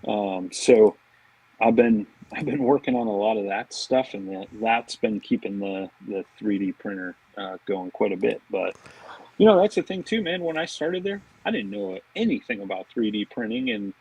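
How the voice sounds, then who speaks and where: American male interview guest, deepish and raspy, informal and meandering, laid-back phrasing. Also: expressive, normal speed, darkish tone, low-quality recording. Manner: informal, rambling, peppered with "you know" and "man". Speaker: podcast guest, fishing products marketing director. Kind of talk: casual interview chat.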